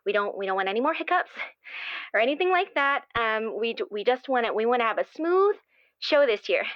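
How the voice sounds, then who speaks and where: A woman playing an earnest, gushing playwright in an improvised audio drama, speaking in a halting, effusive way as if anxious to please. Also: fairly high pitch, very animated, no echo, lo-fi sound. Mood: pleading. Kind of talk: improvised actual-play interview acting.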